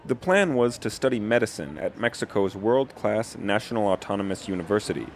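A man reporting, reading a scripted news narration with measured, even delivery. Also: lowish voice.

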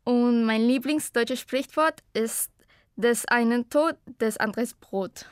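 A woman's voice: fairly high pitch, slow, smooth, no echo, clean studio sound. Young woman speaking learner German. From Costa Rica. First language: Spanish. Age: 20s. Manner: hesitant, searching for words. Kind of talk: personal self-introduction.

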